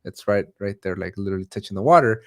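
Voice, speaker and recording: A man's voice: fairly low pitch, fast talker. A man hosting an interview in a casual, conversational style. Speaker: host.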